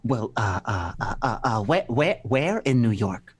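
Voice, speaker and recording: Comic radio play: flustered gentleman performed by a woman, low for the performer, heavy stammer and halting delivery. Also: very animated, breathy edge, exaggerated voice.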